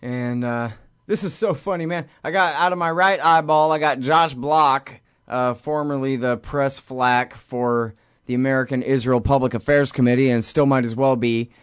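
A man's voice: mid-range voice, normal speed, smooth, dry recording. Guy hosting a political talk show, sarcastic rant, dry close sound. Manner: sarcastic, ranting, animated. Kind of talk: political radio commentary.

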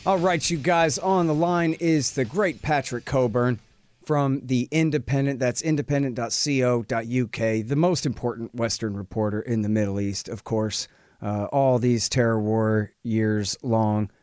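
A man's voice: mid-range voice, measured pace, dry recording, clean studio sound. Folksy man hosting a podcast. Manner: relaxed and folksy, drawls with many uh's. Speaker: interview host. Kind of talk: casual podcast interview.

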